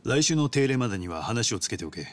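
A man's voice: mid-range voice, very animated, natural voice. A man voicing a polite, calculating yakuza underboss in a video game, speaking formally and with cool composure. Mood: composed, deferential. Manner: formal, courteous, measured business-like speech. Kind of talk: dramatic video game voice acting.

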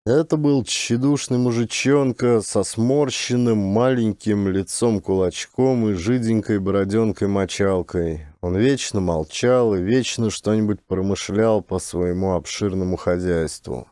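Guy reading an audiobook, low mellow voice, measured and expressive, very dry close sound. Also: no echo. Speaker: audiobook narrator and actor. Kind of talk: scripted audiobook narration.

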